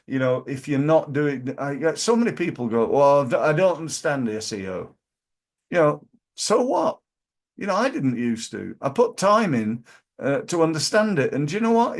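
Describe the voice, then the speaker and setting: Hoarse, gravelly Yorkshire man. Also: medium pitch. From Yorkshire, England. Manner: emphatic, repeats key phrases, many fillers. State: hoarse from flu. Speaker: business coach. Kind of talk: casual to-camera business advice. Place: office, in front of a backdrop screen.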